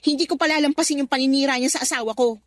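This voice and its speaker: Actress as devoted, defensive wife, high voice, earnest radio drama delivery. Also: female voice, steady tone, racing, no echo, clean studio sound, lightly acted. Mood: firm. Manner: pleading, earnest. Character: loyal middle-aged wife and daughter, trusting, defensive. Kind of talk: Tagalog radio drama acting.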